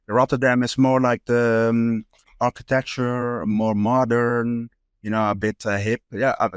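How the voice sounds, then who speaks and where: A man's voice: on the low side, no room sound, studio quality. A young man from the Netherlands, a street photographer talking casually as a guest, with many fillers and restarts. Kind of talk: casual interview chat.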